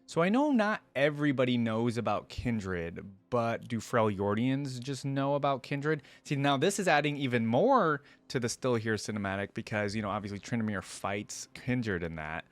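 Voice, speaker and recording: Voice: mid-range voice, animated, normal speed, few pauses, no echo. A reaction video host giving casual, conversational commentary on game voice lines.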